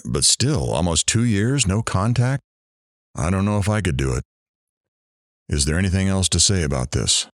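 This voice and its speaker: A man playing a sympathetic, philosophical radio talk show host in an audio drama, gently probing and reflective. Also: sits low, sing-song, normal speed, long pauses, dry recording, clean studio sound.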